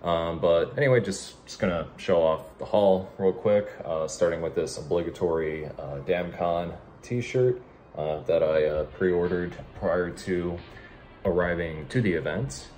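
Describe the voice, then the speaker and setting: Deep-voiced young man vlogging over loud music. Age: young adult. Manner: hesitant, halting delivery. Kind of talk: casual vlog chat.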